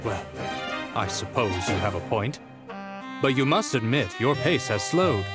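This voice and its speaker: A man's voice: medium pitch, expressive, measured pace, natural voice. A man voicing a stiff, formal, impatient aristocrat with a haughty manner in a video game. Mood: coolly impatient. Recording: loud music behind the voice.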